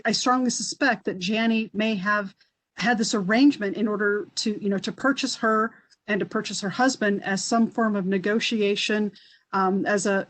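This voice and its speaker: A woman historian speaking on an online panel, thoughtful and halting with many restarts. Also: mid-range voice, rough recording. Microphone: video call.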